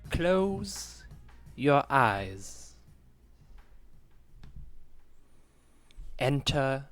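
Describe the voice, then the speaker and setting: A man playing a solemn hypnotist in an improvised comedy podcast, guiding a trance with hushed, portentous delivery and long dramatic pauses. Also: medium pitch, no echo. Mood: solemn, hypnotic. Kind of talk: slow guided meditation, improvised comedy.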